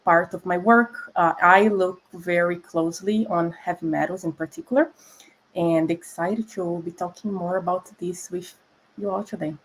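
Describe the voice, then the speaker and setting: Woman with a Brazilian accent, food scientist guest, clear explainer. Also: on the low side, steady tone, normal speed, slight background noise, poor audio. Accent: Brazilian Portuguese. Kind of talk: explanatory conversation.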